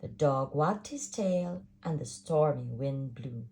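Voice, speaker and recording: A woman reads a rhyming children's story aloud, slowly and rhythmically. Her pitch dances widely across each line, while her lowish voice carries a gravelly fry. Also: slight background noise. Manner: expressive, rhythmic rhyming delivery. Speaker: parent reading a picture book.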